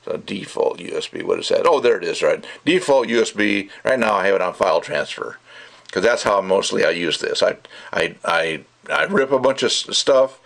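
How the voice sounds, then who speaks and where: A man's voice: on the low side, creaky, fairly bright tone. A middle-aged man giving a casual, unscripted hands-on product review, rambling and thinking aloud as he goes. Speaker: audio gear reviewer.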